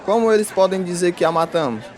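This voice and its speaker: An actor plays a distressed schoolboy in a radio drama, grieving his sister. The questions come anxiously, at a middling pitch, with a faint outdoor crowd behind. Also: animated, fast, a little mellow, natural voice. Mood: anxious questioning.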